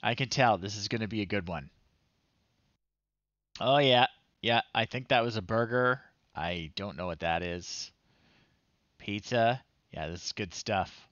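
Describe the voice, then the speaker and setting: A man's voice: on the low side, measured pace, pauses often, dry recording, lo-fi sound. A man in his thirties doing casual, wry livestream commentary, chatting to himself and reading screen text aloud as he plays. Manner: wry, chatty, thinking out loud. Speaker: livestream host.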